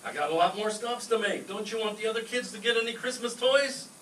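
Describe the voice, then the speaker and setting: Man playing a gruff, impatient fake Santa, echoey. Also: high voice, brisk, a bit bright. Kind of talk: comic radio skit acting. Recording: echoey, distant stage recording, faint noise.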